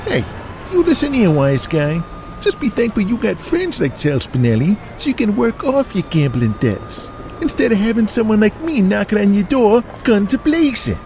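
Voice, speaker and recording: Cocky mobster, wildly swinging pitch. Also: male voice, very animated, normal speed, noisy background. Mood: menacing. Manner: wise-guy swagger, profane. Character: skinny Italian-American mob collector, cocky, hot-headed tough guy. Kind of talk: audio drama acting.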